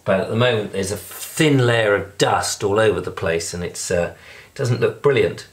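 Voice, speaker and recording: Hoarse English man chatting on camera. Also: lowish voice, moderate pace. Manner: rambling, chatty, self-deprecating. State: hoarse, recovering from lost voice. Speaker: video blogger. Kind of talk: casual diary vlog. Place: indoors at home and in a flat.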